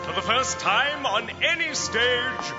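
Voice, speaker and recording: Pompous cartoon magician, theatrical patter, slow delivery, lively pitch, reverberant. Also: male voice, heavily acted. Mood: showy. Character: showy, self-important male stage magician. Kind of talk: animated cartoon acting. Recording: dull lo-fi, long reverb, loud music.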